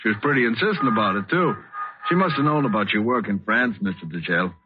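A man's voice: lowish voice, expressive, fast, natural voice. An actor in a 1940s radio drama playing a plain-spoken, unyielding gallery doorman with a colloquial, matter-of-fact manner. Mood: deferential, chatty. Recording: noisy, tinny old radio recording.

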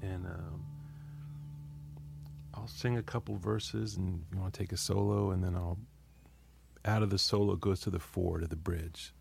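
A man's voice: deep voice, fairly even intonation, moderate pace, creaky. A man, a guest musician, talking casually with a fellow player and rambling through stories with easy laughs. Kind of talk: casual chat between musicians. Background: guitar playing.

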